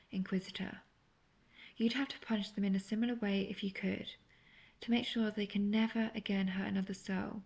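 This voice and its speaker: A woman playing a seductive, manipulative, obsessive witch in an intimate audio roleplay, coaxing and teasing the listener with soft talk that slips into near-whispers. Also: mid-range voice, gravelly, highs cut off, close to an everyday voice.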